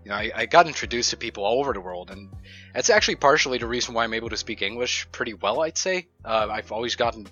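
A man's voice: medium pitch, lively intonation, fast, pushed and bright, dull audio, soft background music. A young man from Ukraine co-hosting a casual podcast, talking bluntly and swearing freely. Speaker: podcast co-host. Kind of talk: casual chat.

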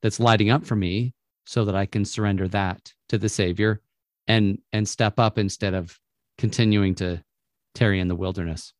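A man's voice: fairly low pitch, fairly even intonation, normal speed, no room sound, clean studio sound. A man hosting a faith-based conversation, speaking reflectively and affirming his guest. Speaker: host.

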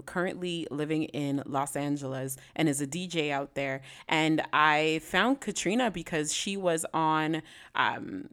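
A woman hosting a podcast, speaking in a relaxed, slangy, conversational way. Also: sits low, clean tone. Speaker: podcast host.

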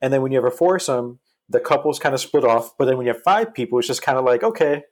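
A man's voice: medium pitch, expressive, fast talker. A man in his early forties talking casually as a podcast guest, explaining his thinking and checking that listeners follow. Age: early 40s. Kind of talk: casual group chat.

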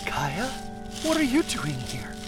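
A man playing a scatterbrained, earnest anthropologist in an audio drama, with an emotional and halting delivery. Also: a little high, wildly swooping pitch, heavily acted. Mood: bewildered, wary. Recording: music behind the voice.

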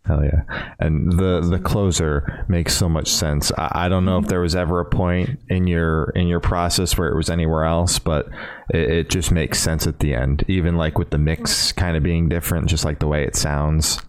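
A man's voice: deep voice, little pitch movement, moderate pace, hardly any pauses, clear, resonant tone. A man co-hosting a podcast, chatting in a laid-back, informal way with a guest. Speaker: podcast co-host. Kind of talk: casual interview chat.

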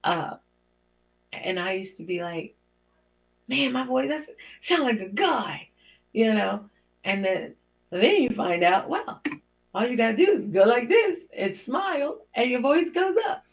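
A woman's voice: sing-song, measured pace, pauses often. A middle-aged woman hosting her own show, talking in an encouraging, preacherly way with small laughs. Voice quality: deep for a woman. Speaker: host. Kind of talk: inspirational talk show monologue. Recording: dull lo-fi podcast audio.

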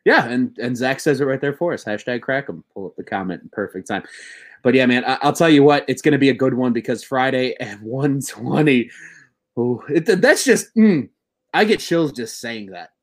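A man in his twenties hosting a casual sports podcast, excitable and swearing, slightly buzzed. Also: mid-range voice, normal speed. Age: 20s. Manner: excitable, swearing, laughing, bro-ish banter.

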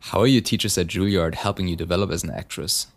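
A man interviewing a guest, asking measured, encouraging questions. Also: low-pitched, gravelly.

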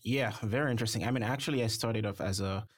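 A man from Haiti, a startup founder, answering interview questions in a measured way with many fillers. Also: lowish voice, no room sound, studio quality. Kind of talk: conversational interview.

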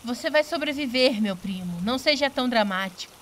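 A woman's voice: a little high, lively intonation, fairly quick, a bit bright, slight background noise. A woman with a Brazilian accent playing a restless, headstrong duchess in a period audio drama. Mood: defiant.

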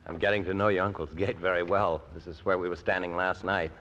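Deep-voiced, hesitant, earnest young man. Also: level tone, fast talker, a bit bright, dull audio, slight background noise. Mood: lighthearted. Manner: hesitant, stammering, repeating words. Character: earnest, self-doubting young man, shy and grateful holiday guest. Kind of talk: old-time radio drama acting. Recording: old radio recording, faint music behind.

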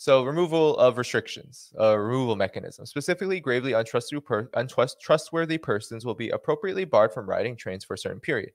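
A man's voice: medium pitch, expressive, quick pace, few pauses, no room sound. A man with a Boston accent hosting a livestream, reading a policy document aloud and breaking off into dry sarcastic asides. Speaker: host.

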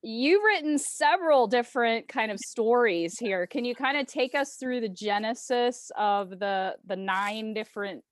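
A woman's voice: a little high, animated, unhurried, few pauses, no room sound. Woman podcast host, dry close sound. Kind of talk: conversational interviewing.

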